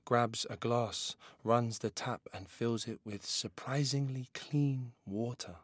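A man narrating an audio drama in a deliberate, intense, dramatic scripted style. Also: medium pitch, steady tone, no echo.

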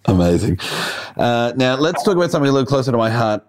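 A man hosting a casual sports interview, enthusiastic and quick to laugh. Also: mid-range voice, sing-song, slight background noise. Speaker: podcast host.

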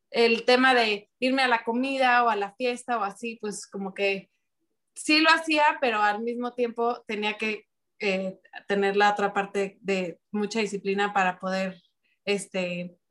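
A woman's voice: fairly high pitch, fairly bright tone. Friendly rambling woman guest. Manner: friendly, rambling with restarts and fillers. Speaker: guest athlete. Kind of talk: casual interview chat.

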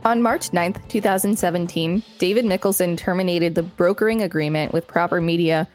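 A woman's voice: fairly low pitch, brisk, a little mellow, faint music behind. A woman co-hosting a podcast and reading an encyclopedia article aloud. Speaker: podcast co-host.

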